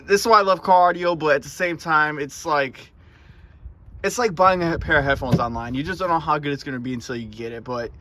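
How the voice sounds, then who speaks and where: A man's voice: a little high, fairly quick, sharp tone. A man chatting casually to camera as a car audio vlogger, in a rambling, informal way with some stuttering and repeated words, recorded inside a car. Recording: noisy vehicle interior.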